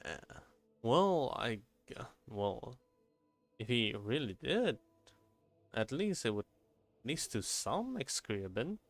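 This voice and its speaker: A man's voice: medium pitch, very animated, slow, pauses often, dry recording, soft background music. A man livestreaming a game, chatting casually and informally, often thinking aloud and repeating his phrases. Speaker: game streamer. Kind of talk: casual livestream gameplay chat.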